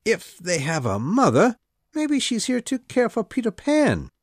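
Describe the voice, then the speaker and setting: A woman's voice: medium pitch, wildly swooping pitch, unhurried, no room sound, studio quality. A woman voicing an eager, dim pirate sidekick who exclaims and questions in a puzzled way.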